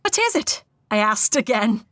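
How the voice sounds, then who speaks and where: A woman's voice: a little high, big pitch swings, normal speed, fairly bright tone, no echo, studio quality. A woman voicing an earnest ghost-story witness with a put-on Irish accent, reading the account dramatically. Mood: awed, dramatic. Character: earnest guest recounting a haunting, in an Irish accent.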